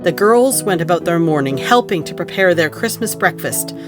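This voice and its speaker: An actress narrating an audio play as a calm storyteller with a composed, literary delivery. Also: female voice, on the low side, moderate pace, over music, lightly acted.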